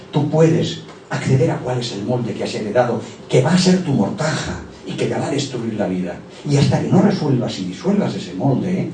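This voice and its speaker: A man lectures to a conference audience, rambling from point to point and checking in with the audience with little tag questions. His voice is mellow and warm, heard from some distance in an echoey hall with a faint hum behind it. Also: mid-range voice. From Barcelona, Spain. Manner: discursive, often tags phrases with "¿eh?" and "¿vale?", addresses audience directly. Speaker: conference speaker presenting a therapy method. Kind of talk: conference talk with slides.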